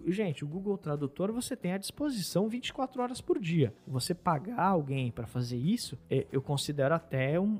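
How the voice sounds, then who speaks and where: A man's voice: on the high side, darkish tone. A man who teaches Italian, hosting a podcast and explaining his points emphatically with rhetorical questions. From Brazil.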